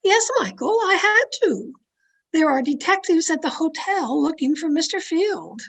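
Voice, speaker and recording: A woman playing a tense, secretive woman who narrates her own crime in a deliberate, dramatic old-time radio mystery style. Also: extremely high pitch, expressive, fairly bright tone, full character voice. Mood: urgent, agitated. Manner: deliberate, dramatic, suspenseful delivery. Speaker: actor in an online radio play performance. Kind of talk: 1940s radio mystery acting, first-person narration. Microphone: video call. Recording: noisy home video-call recording.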